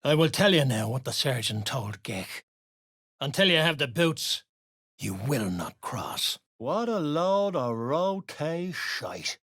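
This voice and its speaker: A surly underground dwarf raider from a video game, coarse and slangy. The pitch leaps from low grumbles to sharp barks. The recording is dry and close, as a hostile underling snaps out orders and complaints. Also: very animated, takes it slowly, husky, no room sound, exaggerated voice. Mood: grumbling, surly. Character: gruff, surly duergar raider guard, rough-tongued underling. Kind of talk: video game character voice lines.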